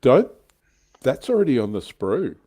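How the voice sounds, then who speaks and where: A man's voice: medium pitch, sing-song, a little slow, dark tone, husky. A man co-hosting a livestream, chatting casually and explaining his opinions on a hobby. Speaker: livestream co-host. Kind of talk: casual hobby chat about tabletop wargames.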